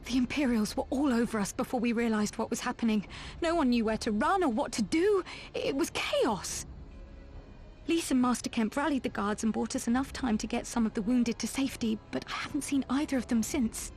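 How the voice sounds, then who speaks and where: An actress playing an earnest, devoted young healer in a video game, with an urgent manner. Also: female voice, on the high side, brisk, a little mellow, lightly acted. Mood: shaken. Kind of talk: video game cutscene acting. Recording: music behind the voice.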